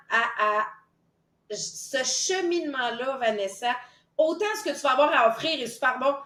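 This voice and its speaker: Chatty Québécois woman host, roomy sound. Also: a little high. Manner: enthusiastic, chatty, with many fillers and restarts. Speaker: podcast host. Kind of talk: casual podcast conversation. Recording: roomy echo.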